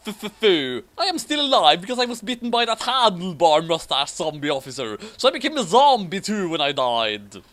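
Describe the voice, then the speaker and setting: A man voicing an excitable decapitated undead pilot's head, sputtering and shouting in a comic story reading. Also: high voice, moderate pace, few pauses, pitched above the performer's own voice. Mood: indignant.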